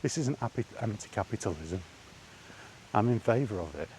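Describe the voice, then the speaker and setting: A man talks in a low, mellow voice, lecturing bluntly and with emphasis. It is an opinion rant from a gaming vlogger, recorded while he walks outdoors, with faint noise behind him. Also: lively intonation, moderate pace. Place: outdoors by allotments.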